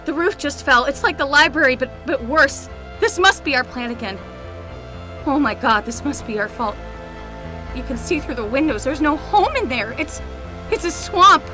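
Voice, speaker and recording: Young female nanny played by a woman, earnest and exasperated, high voice with lively swings. Conversational audio-drama delivery. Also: normal speed, over music, poor audio. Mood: flustered, alarmed. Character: earnest, exasperated young summer nanny, reluctant detective's assistant. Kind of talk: scripted fiction podcast acting.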